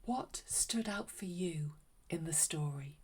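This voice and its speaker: A woman narrating a read-aloud story for children in a gentle, unhurried, expressive way. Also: low-pitched, slightly rough. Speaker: children's story reader and host.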